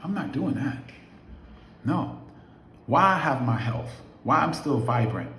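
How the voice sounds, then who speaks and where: A man's voice: medium pitch, big pitch swings, a little slow, bright, forceful tone, a little hiss or hum. Emphatic man in his fifties, echoey room. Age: 50s. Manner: emphatic, preachy, rhetorical questions. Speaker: affiliate marketer vlogger. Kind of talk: motivational talk to camera.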